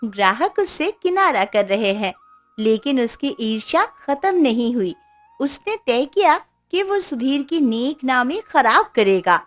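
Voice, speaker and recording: High-voiced, slow, animated woman storyteller. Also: faint music behind. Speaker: narrator. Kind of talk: scripted children's moral-story narration.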